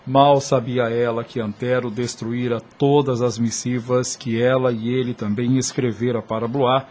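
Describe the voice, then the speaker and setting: A man's voice: medium pitch, level tone, normal speed, a little mellow. A man giving scripted narration for a radio soap opera in a solemn, literary manner.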